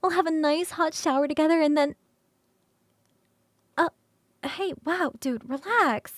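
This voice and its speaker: A woman voicing a playful, hungry and affectionate succubus roommate in an ASMR roleplay, coaxing and teasing with sighs and soft, breathy turns. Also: high-pitched, no room sound. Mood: eager, playful. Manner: coaxing, sighing, drawn-out savouring, shifting into soft whispers.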